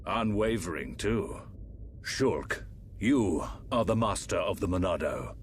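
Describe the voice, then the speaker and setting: Deep-voiced, solemn fantasy emperor. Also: male voice, lively intonation, slight background noise. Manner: formal, measured, grave. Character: solemn aging emperor, stately fantasy ruler and father. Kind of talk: dramatic video game voice acting.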